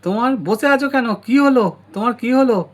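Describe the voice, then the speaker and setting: Visiting younger brother, a young doctor, acted by a man: eager doll-story character, notably high-pitched. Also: fast talker. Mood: excited, concerned.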